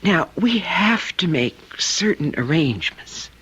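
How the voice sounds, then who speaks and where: Husky, uneasy society mother. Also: lowish voice, big pitch swings, unhurried, fairly bright tone, full character voice. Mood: anxious, coaxing. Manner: hesitant, pleading. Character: uneasy, practical society mother of a grown son. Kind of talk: old-time radio drama acting. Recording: dull vintage radio drama recording.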